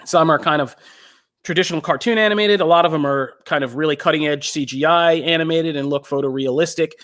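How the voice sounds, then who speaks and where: A man's voice: on the high side, fast talker, a bit bright. An American man from Pennsylvania, talking casually and in a rambling way as a podcast host giving pop culture recommendations. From Pennsylvania, United States.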